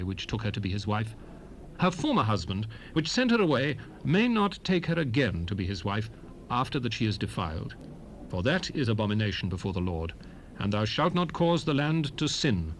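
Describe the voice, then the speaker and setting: A man playing a solemn lawgiver in a dramatized Bible recording, declaiming ancient commandments with deliberate, archaic diction. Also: mid-range voice, natural voice, rough recording. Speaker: narrator reading scripture. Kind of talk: dramatized King James Bible reading. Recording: hissy 1978 cassette rip.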